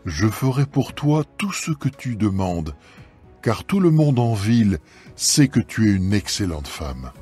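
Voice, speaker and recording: A man's voice: lowish voice, a little mellow, slightly rough, heavily acted. A man playing a kindly, honourable mature landowner, solemn and reassuring, in a dramatised scripture reading.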